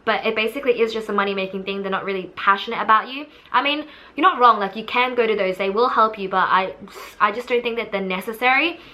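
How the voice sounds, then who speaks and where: A woman's voice: medium pitch, fast talker, hardly any pauses, sharp tone. A young woman, casually telling the story of her audition to camera in a chatty, candid way, with many fillers. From Australia.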